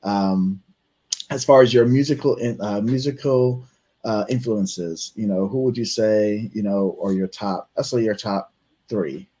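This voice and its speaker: Male host from Barbados, lowish voice, chatty and relaxed interviewer. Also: measured pace, slightly rough. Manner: easygoing, chatty, laughs along. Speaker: radio show host. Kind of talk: casual interview.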